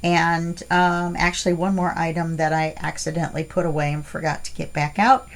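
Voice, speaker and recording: A woman's voice: low-pitched, flat delivery, moderate pace. A middle-aged woman hosting a casual crafting unboxing, chatty and rambling with fillers, her voice stuffy from allergies. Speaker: crafting channel host.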